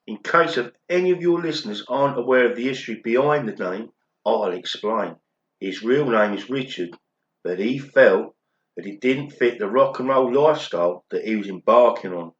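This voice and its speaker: A man's voice: medium pitch, moderate pace, natural voice. A daft pop star played by a man tells his story earnestly in a radio play interview. The sound is roomy and a little muffled, like a home recording, with a chatty, self-important delivery. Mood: chatty, pleased. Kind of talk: amateur radio play acting.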